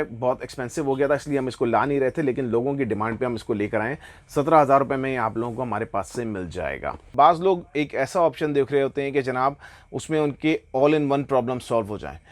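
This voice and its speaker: A man with a Pakistani accent gives a casual product review and sales pitch, explaining enthusiastically and often slipping into English phrases. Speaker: online motorbike gear seller presenting products.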